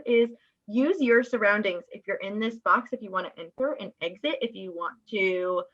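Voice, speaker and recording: A young woman giving measured, constructive feedback as a guest judge, recorded over a video call. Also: mid-range voice, a bit bright. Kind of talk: conversational critique. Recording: dull video-call audio.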